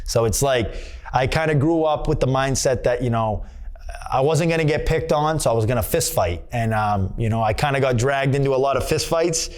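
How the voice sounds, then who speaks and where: Rambling New boxer, filler-heavy. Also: male voice, mid-range voice, moderate pace. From Massachusetts. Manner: rambling, many fillers and restarts. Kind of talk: candid sit-down interview. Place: boxing gym.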